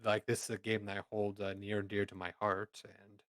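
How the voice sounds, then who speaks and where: A man in a casual chat, relaxed and thoughtful about what he likes to stream. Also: lowish voice, a little fast, dry recording.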